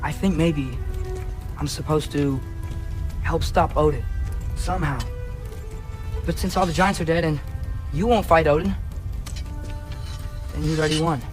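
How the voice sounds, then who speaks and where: A young man as an earnest, higher-voiced teenage hero. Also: unhurried, pauses often, natural voice. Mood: earnest, pleading. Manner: earnest, youthful, hesitant. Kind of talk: naturalistic video game acting. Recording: music under the voice.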